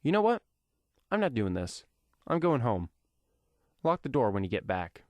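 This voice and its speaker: A man's voice: medium pitch, sing-song, moderate pace, a little mellow, no room sound, lightly acted. A man voicing a superhero's mocking, irritated brother in an audio comic story. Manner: mocking, exasperated.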